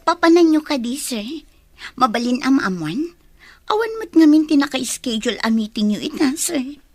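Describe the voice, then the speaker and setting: Radio drama role: inquisitive woman secretary, expressive, very lively pitch, close dry recording. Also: normal speed, no echo. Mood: surprised, probing. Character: nosy, suspicious female office secretary. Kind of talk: Ilocano radio drama acting.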